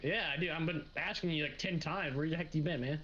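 A man's voice: a little high, a little fast, sharp tone. A man chatting casually in an improvised gaming roleplay, slangy and joking, with some playful grumbling. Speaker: gaming video creator playing a truck roleplay. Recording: dull lo-fi mic, faint noise.

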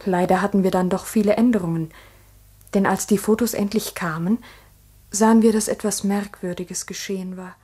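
An actress playing a grave schoolteacher who narrates in a solemn, literary manner in a 1960s radio play. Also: female voice, mid-range voice, steady tone, moderate pace, dark tone, slightly rough, close to an everyday voice. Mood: measured recounting. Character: grave, sorrowful female schoolteacher recounting events. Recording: 1960s mono radio recording.

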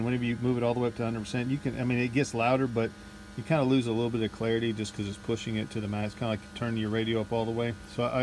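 A man's voice: medium pitch, darkish tone, rough recording. A man casually narrating a field test off the cuff, thinking aloud as he works, recorded outdoors on a cell phone. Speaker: vlogger testing drone gear. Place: outdoors at night in a neighborhood. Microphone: handheld cell phone. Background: outdoor ambience and passing vehicle noise.

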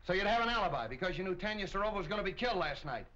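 Gruff, fast-firing police captain. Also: male voice, high-pitched, expressive, sharp tone. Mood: suspicious. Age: middle-aged. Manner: gruff, accusing, clipped interrogator. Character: tough, suspicious middle-aged police captain. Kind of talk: 1940s crime film acting. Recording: muffled old film soundtrack.

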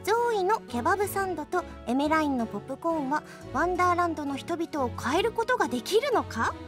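A woman's voice: very high voice, sing-song, quick pace. A woman hosting a radio show, switching between casual chat and reading out game announcements. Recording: music behind the voice. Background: background music.

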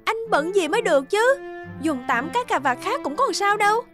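A young cartoon wife who sounds worn down and fed up. Her voice is very high and expressive, with soft music underneath. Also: brisk, full character voice. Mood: annoyed. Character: weary, fed-up young wife and caring friend. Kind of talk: animated cartoon dialogue. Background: background music.

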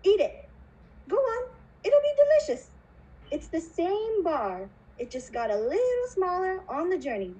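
Puppet show role: eccentric chocolate factory boss played by a woman, very high, chirpy, widely swooping voice. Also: measured pace, lo-fi sound, full character voice. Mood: bossy, excited. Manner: theatrical, animated.

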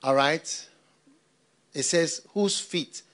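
A middle-aged man from Ghana preaching a sermon into a handheld mic on a church stage, swinging between loud bursts and hushed asides. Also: fairly high pitch, drawn-out words. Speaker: pastor.

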